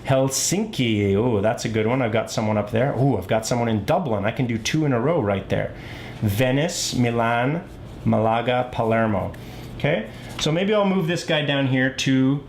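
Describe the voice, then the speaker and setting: A man's voice: mid-range voice, normal speed, slight background noise. A man giving a casual tutorial, thinking aloud step by step and often checking in as he goes. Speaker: board game designer demonstrating his game.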